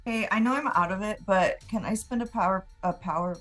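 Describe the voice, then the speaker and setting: A woman's voice: medium pitch, fairly quick, fairly bright tone, soft background music. A woman chatting casually as a player in a tabletop roleplaying game.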